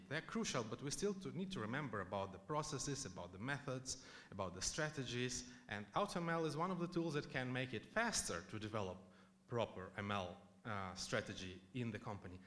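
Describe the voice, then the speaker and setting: A man's voice: mid-range voice, a bit bright, slight background noise. A man from Ukraine giving a conference talk, chatty and loose, full of fillers and restarts. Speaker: conference speaker. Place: conference hall.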